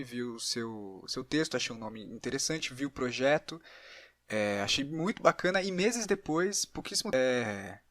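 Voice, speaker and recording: A Brazilian man hosts a podcast interview in a casual, conversational way. His voice is mid-pitched, and the sound is dry with no room echo. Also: bright, forceful tone.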